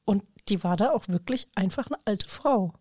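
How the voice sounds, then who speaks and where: A woman's voice: mid-range voice, animated, normal speed, a little mellow, husky, dry recording, studio quality. A woman chatting casually in a talkative, informal, colloquial way. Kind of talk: casual conversational chat.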